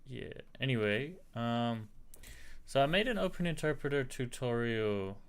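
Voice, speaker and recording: Gravelly-voiced man with slight American accent. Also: medium pitch, lively intonation, unhurried. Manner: chatty, reads and answers viewer chat. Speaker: live-streaming tech tutor. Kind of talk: casual live-stream tutorial.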